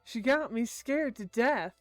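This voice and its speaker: Female visual novel streamer, unhurried and expressive, slipping into casual asides, faint game music behind. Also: fairly high pitch, no echo. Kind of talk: reading a visual novel aloud with reactions.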